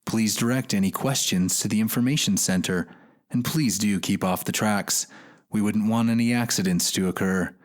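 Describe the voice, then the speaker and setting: A courteous male announcer on a futuristic transit station, as an actor voices him, speaking in a fairly low, formal tone. His words are polished and measured, like a public-address message. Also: close to an everyday voice. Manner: polite, formal.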